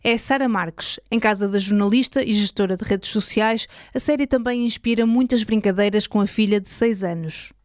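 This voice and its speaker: A woman's voice: mid-range voice, normal speed, few pauses, dry recording, studio quality. A woman with a European Portuguese accent reading scripted journalistic narration as a podcast host.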